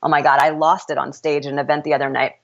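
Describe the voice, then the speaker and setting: A woman with a deep voice, an author and startup founder speaking as an interview guest, frank and opinionated, often restarting her sentences. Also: flat delivery, racing, muffled recording. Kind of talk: candid interview conversation.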